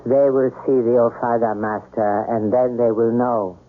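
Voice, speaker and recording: An actor playing a loyal, guilt-ridden manservant in a 1970s radio ghost tale, speaking formally and with deference. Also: male voice, medium pitch, steady tone, unhurried, lightly acted. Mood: deferential, grave. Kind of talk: 1970s radio drama acting. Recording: noisy, tinny 1970s radio broadcast.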